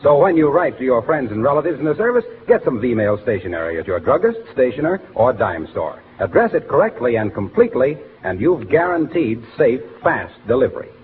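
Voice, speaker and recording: An actor playing a genial announcer and salesman in a 1940s radio comedy, with an emphatic, deliberate selling delivery. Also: medium pitch, big pitch swings, natural voice. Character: genial, smooth-pitching wax salesman and announcer. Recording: tinny, faintly noisy 1940s radio broadcast.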